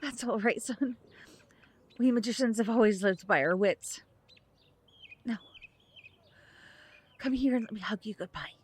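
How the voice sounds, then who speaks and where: A woman playing a brave, loving magician mother, gentle but firm, in an audio drama. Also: a little high, measured pace, long pauses, husky. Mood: tender.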